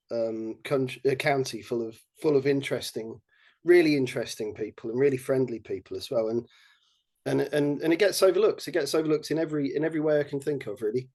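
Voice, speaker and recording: A man with an English accent chatting as a podcast guest about his research project, talking haltingly with many fillers and restarts. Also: medium pitch, darkish tone. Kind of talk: casual podcast chat.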